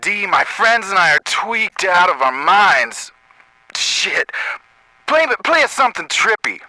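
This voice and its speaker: A man's voice: sits high, big pitch swings, takes it slowly, pushed and bright, dry recording, heavily acted, rough recording. An actor playing a dazed, gushing male fan calling a radio show in a video game. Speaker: radio call-in listener.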